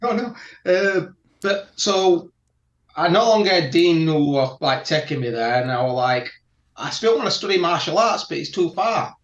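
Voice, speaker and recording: A man from South Yorkshire with a strong Yorkshire accent, chatting as a guest and telling his martial arts story slowly and carefully, often laughing. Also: fairly high pitch, animated. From England (Barnsley, South Yorkshire). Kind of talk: casual interview chat. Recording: echoey room, dull lo-fi audio.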